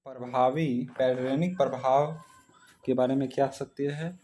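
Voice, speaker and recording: A man's voice: mid-range voice, steady tone, a little slow, dark tone. An Indian man teaching exam practice, reading out questions and following each with a short answer.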